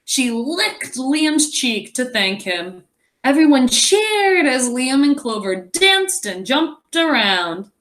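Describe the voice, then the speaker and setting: A woman reads a story aloud to children like a teacher. Her pitch moves in lively swoops while her pace stays slow and measured. She is expressive, stepping out of the tale to explain and wonder aloud. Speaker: teacher reading aloud to pupils. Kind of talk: animated children's read-aloud with asides.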